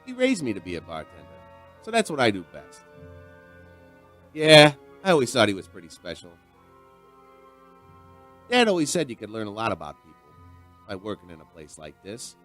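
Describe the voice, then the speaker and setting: Folksy bartender, animated storyteller. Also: male voice, mid-range voice. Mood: affable, chatty. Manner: folksy, rambling, conversational. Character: chatty, folksy male bartender and bar owner, storyteller. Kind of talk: radio drama monologue.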